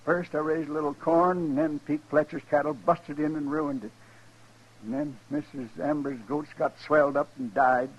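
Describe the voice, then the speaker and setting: A poor, desperate dirt farmer with a fairly high voice. He sounds humble, pleading and folksy, with a rural American accent. The old radio drama recording is dull. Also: fairly even intonation, moderate pace. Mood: sorrowful. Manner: plaintive, folksy. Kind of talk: 1950s radio western acting.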